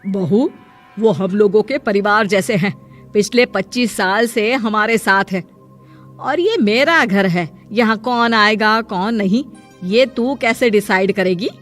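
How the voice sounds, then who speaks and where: A woman's voice: medium pitch, a bit bright, faint music behind, lightly acted. A woman voicing a stern, sarcastic older mother-in-law in a cartoon story, scolding and bossy about her household. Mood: territorial. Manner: scolding, bossy, drawn-out address.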